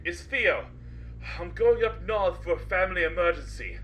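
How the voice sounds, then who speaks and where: A woman's voice: on the low side, animated, moderate pace, a little hiss or hum, close to an everyday voice, rough recording. A woman playing a weary, anxious barbershop owner in an amateur radio play. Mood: weary, dry.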